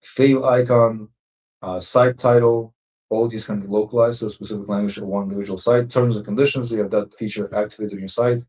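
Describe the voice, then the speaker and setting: A man presenting a software webinar, explaining settings step by step with many fillers and restarts. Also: fairly low pitch, fairly even intonation, a little fast. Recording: distant, muffled lo-fi audio.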